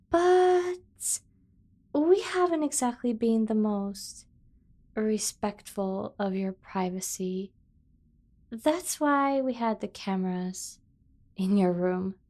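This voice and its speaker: A woman playing a doting, obsessive kidnapper sibling in an ASMR roleplay, coaxing and teasing with drawn-out emphasis. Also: medium pitch, darkish tone. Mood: confiding. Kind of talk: scripted ASMR roleplay acting.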